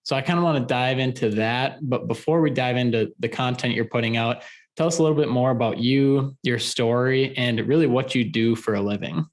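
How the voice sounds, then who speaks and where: A man's voice: mid-range voice, fairly quick. A man hosting a construction podcast, enthusiastic and conversational, often repeating words as he starts his sentences. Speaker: podcast host. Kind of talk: upbeat interview hosting.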